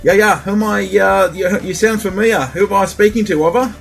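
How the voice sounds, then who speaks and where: A man's voice: high voice, fairly even intonation, brisk, vocal fry, loud music behind the voice. A man in a casual radio chat as a CB operator, speaking hesitantly and repeating himself. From Australia.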